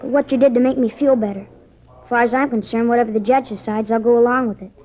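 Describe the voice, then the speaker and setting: A young male actor playing a polite, awkward American boy, earnest and halting, in a 1950s radio drama. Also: extremely high pitch, fairly even intonation. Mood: earnest, shy. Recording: muffled 1950s radio recording.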